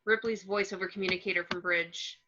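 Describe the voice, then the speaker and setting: A woman's voice: on the low side, steady tone, a little fast, bright, forceful tone, slight background noise. A woman narrating stage directions from a screenplay in short clipped phrases, on a lo-fi recording. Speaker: narrator reading action descriptions.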